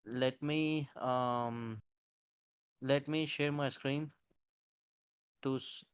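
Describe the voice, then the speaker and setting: A man's voice: mid-range voice, steady tone, extremely slow, clean tone, dry recording, studio quality. A man casually explaining market charts on a live call, talking hesitantly with many fillers and restarts. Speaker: crypto market analyst presenting charts. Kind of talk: live-streamed chart walkthrough.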